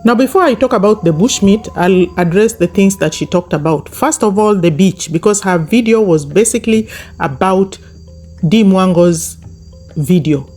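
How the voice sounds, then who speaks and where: A woman for whom English is a second language delivers a YouTube commentary monologue. Her voice sits warm and mellow, with soft music in the background. She drives her points home by repeating them. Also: medium pitch, moderate pace. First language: not English. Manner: emphatic, repetitive, speaks straight to viewers.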